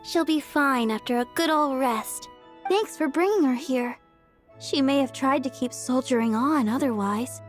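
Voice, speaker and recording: A woman's voice: high-pitched, a little slow, faint music behind. A woman voicing a kind young healer who gets flustered and stammers, for a game. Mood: caring. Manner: stammers and talks around things when caught out. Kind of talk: game voice acting.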